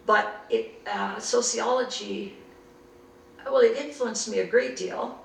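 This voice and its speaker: Older canadian woman telling her life story, slow and reflective, kinda gravelly, roomy distant mic. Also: medium pitch, a little hiss or hum. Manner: reflective, unhurried storytelling with frequent restarts. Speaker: interviewee. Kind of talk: oral history interview.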